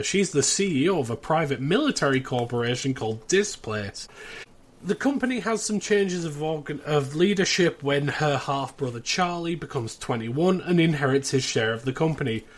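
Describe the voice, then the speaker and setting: A British man hosting a podcast, casually recapping a plot in a chatty way with wry asides. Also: mid-range voice. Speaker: podcast host.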